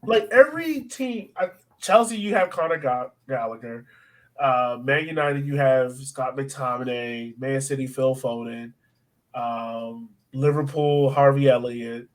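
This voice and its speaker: A man's voice: mid-range voice, measured pace. A man co-hosting a sports podcast, chatting casually and agreeably as he builds on points. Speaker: podcast co-host. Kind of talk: casual soccer discussion.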